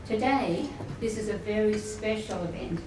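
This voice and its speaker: A woman welcoming guests at a luncheon, formal but with warm chatty asides. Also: mid-range voice, moderate pace. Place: function room at a luncheon event. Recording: echoey room, distant mic, noisy.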